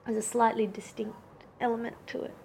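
A woman comedian co-hosting a casual podcast, speaking in a dry, deliberate way with careful word choice. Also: on the high side, little pitch movement, vocal fry, noisy background. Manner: dry, deliberate, precise wording. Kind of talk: casual chat.

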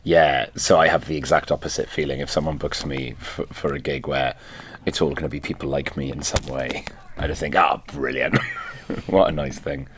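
A man's voice: rumbling low, fairly even intonation, moderate pace, slight background noise. A Welsh man, a stand-up comedian and native Welsh speaker, chatting backstage and laughing often as he questions himself. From Wales. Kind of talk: casual backstage chat. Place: backstage at a venue.

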